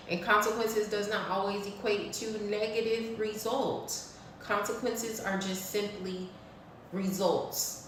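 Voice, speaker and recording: A woman's voice: mid-range voice, fairly bright tone, distant mic, a little hiss or hum. A woman in her thirties giving a blunt, preachy spiritual talk to her viewers, swearing freely. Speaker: spiritual vlogger. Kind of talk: casual spiritual talk to camera. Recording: echoey room, voice off-mic.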